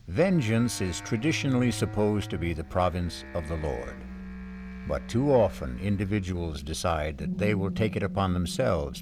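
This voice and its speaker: A man hosting a 1970s radio mystery show, introducing it in a grave, deliberate, theatrical way. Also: low voice. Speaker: host. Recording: archival radio broadcast with music.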